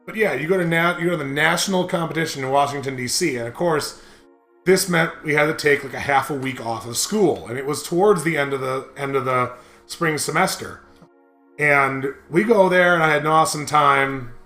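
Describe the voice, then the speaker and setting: A young American man with a fairly high voice talks casually and emphatically, swearing freely as he rambles. He sounds like a game commentator in a roomy, echoey space, with faint music behind him. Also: fairly quick, sharp tone. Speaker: co-host of a video game playthrough. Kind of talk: casual commentary and personal storytelling.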